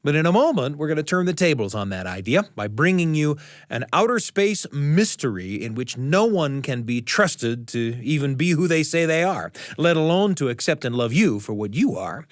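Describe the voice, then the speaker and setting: A man hosting a radio show, telling stories and chatting with deliberate phrasing and dramatic pauses. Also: on the high side, sing-song, no room sound, clean studio sound. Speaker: radio show host and storyteller. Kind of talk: reflective personal storytelling and hosted studio conversation. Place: radio studio control room.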